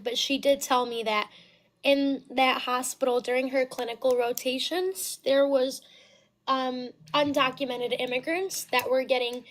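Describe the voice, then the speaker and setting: A woman in her twenties from the United States, speaking with a very high voice in a casual debate, halting at first, then insistent. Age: 20s. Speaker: caller.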